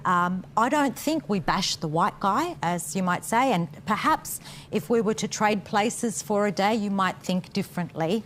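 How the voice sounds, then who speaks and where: Hesitant woman with a lively voice. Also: mid-range voice, unhurried, slight background noise. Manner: hesitant, with many fillers. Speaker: panel guest. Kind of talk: casual panel discussion.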